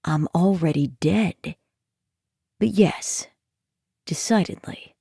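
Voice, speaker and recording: A woman's voice: fairly low pitch, slow pace, gravelly, mellow, slightly rough, no room sound, exaggerated voice. A woman voicing an undead male nightmare spirit, sneering and dry in manner.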